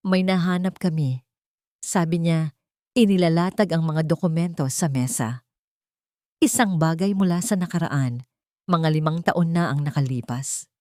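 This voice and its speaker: Low-pitched woman narrating a dramatic story. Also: normal speed, pauses often, darkish tone, no room sound, studio quality.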